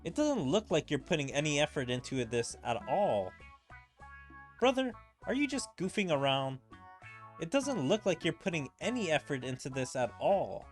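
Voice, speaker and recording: A man's voice: a little high, big pitch swings, moderate pace, soft background music. A man voicing a prim young woman who scolds her older brother, reading game dialogue aloud in a stern tone. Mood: scolding.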